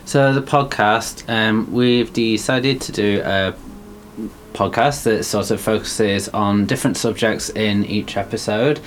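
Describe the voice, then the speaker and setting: A young man, co-hosting a podcast and talking slowly with many ums and likes. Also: lowish voice, slight background noise. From Wigan, England. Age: young adult. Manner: slow, thoughtful, filler-heavy. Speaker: podcast co-host. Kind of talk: casual chat.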